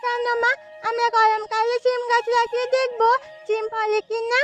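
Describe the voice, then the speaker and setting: A woman's voice: very high-pitched, flat delivery, normal speed. A woman voicing an eager, pleading little village girl with a childlike, whining manner in a cartoon. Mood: curious, pleading. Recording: music under the voice.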